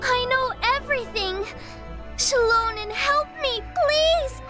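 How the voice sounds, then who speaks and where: A frightened, timid little girl from a video game, with a very high voice. She speaks slowly and haltingly, stammering. Music plays behind her. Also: sharp tone, heavily acted. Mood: pleading.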